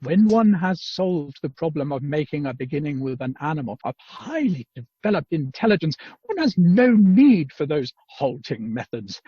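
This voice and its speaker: A man's voice: a little high, sing-song, moderate pace, few pauses, dark tone, husky, no room sound. A man acting a self-assured, pedantic inventor in an amateur radio play, speaking pompously and precisely over a muffled video call. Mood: smug, lecturing.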